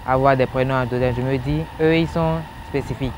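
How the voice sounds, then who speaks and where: A man's voice: medium pitch, fairly even intonation, brisk. A man from Benin, answering a street interview and explaining his point with examples. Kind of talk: vox pop answer. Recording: outdoor street interview with traffic.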